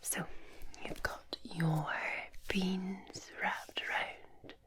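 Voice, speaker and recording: A woman with a Scottish accent, speaking in a soft whisper in a gentle, chatty and soothing ASMR role-play. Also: fairly low pitch, little pitch movement, very slow. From Scotland. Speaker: ASMR creator.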